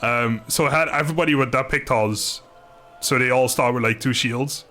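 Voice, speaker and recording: Guy streaming a game, chatting casually w/ chat, jokey, bit sweary, mid-pitched voice, faint music behind. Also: normal speed, sharp tone. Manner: informal, joking, mild swearing. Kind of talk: casual live gaming commentary, chatting with viewers.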